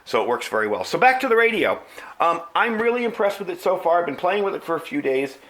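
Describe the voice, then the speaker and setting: A man's voice: high-pitched, very animated, fast, a bit bright. A middle-aged man with an American accent giving a casual, conversational product review, explaining things as he demonstrates them.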